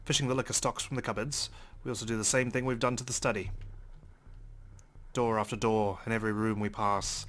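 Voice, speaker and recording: A man's voice: fairly low pitch, level tone, brisk, fairly bright tone. A man reading visual novel text aloud as dramatic first-person narration. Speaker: let's play host reading game text aloud.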